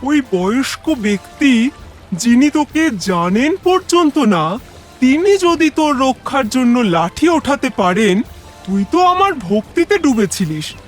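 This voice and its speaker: A solemn, protective god voiced by a woman in a children's cartoon fable. The delivery is slow, reassuring and expressive, with music underneath. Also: high-pitched. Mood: benevolent, solemn. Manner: emphatic, reassuring. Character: solemn, all-protecting god addressing his devotee.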